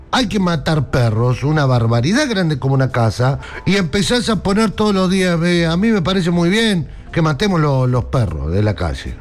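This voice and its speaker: A man with an Argentine accent giving a sarcastic political monologue, mocking and laughing at his own jokes while talking straight to the listener. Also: sits high. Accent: Argentine Rioplatense. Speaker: political commentator.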